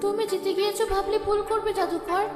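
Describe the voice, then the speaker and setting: Very high, echoing young fairy. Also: female voice, fairly even intonation, quick pace. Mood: defiant. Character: defiant young female fairy, proud and pleading. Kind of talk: cartoon fairy-tale acting. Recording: echoey hall reverb, music behind.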